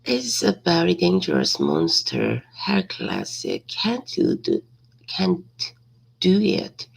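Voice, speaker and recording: Halting, gravelly low-voiced woman reading aloud. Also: huge pitch swings, low-quality recording. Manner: hesitant, repeats and corrects words. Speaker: language learner practising reading aloud. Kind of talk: halting read-aloud of a simple storybook.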